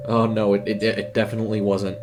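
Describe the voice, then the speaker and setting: A man voicing a spooked, hesitant young fast-food worker in a horror story, stammering. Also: fairly low pitch, little pitch movement, loud music behind the voice, natural voice. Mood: shaken.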